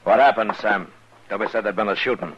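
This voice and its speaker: A stern western marshal, played by a male radio actor with a very deep voice and terse, steady delivery. The sound is muffled, with clear noise from an old broadcast. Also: fast talker, close to an everyday voice. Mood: businesslike. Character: stern, steady frontier marshal of Dodge City.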